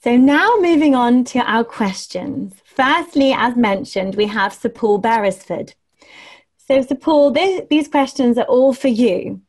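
A young woman hosts a webinar and reads out her questions politely and without hurry. Her voice sits a little high and her pace is slow. She speaks over a video call through wired earphones from a room at home. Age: young adult. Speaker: webinar host. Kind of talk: moderating a webinar interview. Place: home room with window, indoors. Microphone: earphone headset on a video call.

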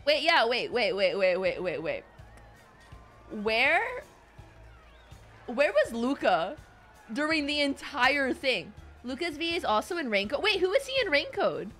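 A woman's voice: sits high, very animated, measured pace, pauses often, fairly bright tone, soft background music. A young woman giving casual, playful, reactive commentary as she streams a video game.

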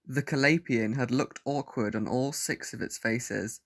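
A man's voice: medium pitch, measured pace. A man narrating a scripted audio story as its narrator.

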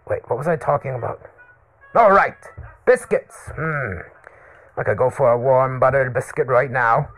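A man's voice: medium pitch, fairly bright tone, voice pushed up, rough recording. A man voicing an ancient, eccentric old hermit who rambles forgetfully and theatrically in a comedy mock interview. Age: elderly.

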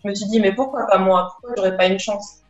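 A young woman talking over a video call, unhurried and hesitant, stopping often to restart. Her laptop mic catches a roomy echo and muffled, lo-fi sound. Faint music plays underneath. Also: mid-range voice, fairly even intonation, darkish tone. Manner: hesitant, many fillers and restarts. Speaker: interview guest. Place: small room indoors. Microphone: laptop webcam mic on video call.

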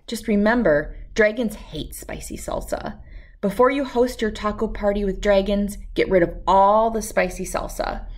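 A woman in her thirties reading a children's storybook aloud with expressive, emphatic delivery and dramatic pauses. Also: mid-range voice. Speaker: read-aloud host.

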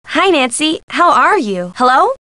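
A woman voicing a bubbly, supportive young friend of a teen sleuth in a video game, chirpy and excitable. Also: high voice, a little slow, dry recording, full character voice. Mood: friendly. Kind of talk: video game character dialogue.

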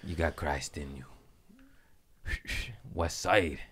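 A man's voice: very deep voice, fairly even intonation, takes it slowly, fairly bright tone, slightly rough. A man in his thirties hosting a casual comedy podcast, swearing freely and wandering into goofy asides, speaking into a studio mic. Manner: profane, rambling, goofy asides. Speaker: podcast host. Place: studio set with hedge wall. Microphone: studio mic on boom arm, headphones.

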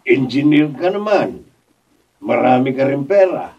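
Wheedling, husky Filipino father. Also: mid-range voice, very animated, a little slow, darkish tone, heavily acted. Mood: wheedling, excusing. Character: shameless, wheedling, tight-fisted middle-aged father. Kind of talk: Tagalog radio drama acting. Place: radio drama recording studio, distant camera. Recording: muffled, picked up from a distance.

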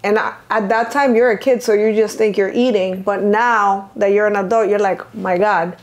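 A woman's voice: medium pitch, steady tone, normal speed. A middle-aged woman from Venezuela co-hosting a podcast, telling stories earnestly in a casual chat and backing up her partner often. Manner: frequent "yeah" and "mm-hmm" backchannels, earnest storytelling. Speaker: podcast co-host.

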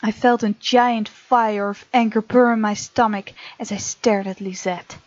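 A woman hosting a let's play, reading game text aloud with playful, expressive asides. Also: mid-range voice, unhurried, dry recording, dull audio. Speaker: gaming video host.

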